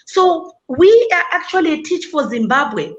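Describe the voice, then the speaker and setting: Woman panelist, high pitch, earnest and deliberate, slightly muffled recording. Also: very animated, fairly bright tone. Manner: earnest, deliberate, self-critical. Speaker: panelist, education leader. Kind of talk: panel discussion.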